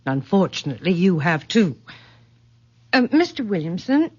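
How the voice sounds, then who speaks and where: A genteel middle-aged mother in a vintage radio drama. She is coolly controlling and formal, her intonation lively and theatrical, on a dull old recording. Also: lowish voice, breathy edge. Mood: patronizing, composed. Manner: formal, measured, condescending endearments.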